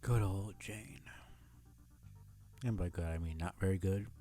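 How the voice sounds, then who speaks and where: A man's voice: low-pitched, unhurried, dark tone. A man chatting casually as a livestream host, in a laid-back, muttering, profane way on a close mic.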